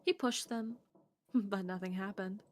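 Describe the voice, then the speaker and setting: A woman's voice: mid-range voice, steady tone, unhurried. A woman streamer reading a visual novel's narration aloud, with casual asides. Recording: faint background noise.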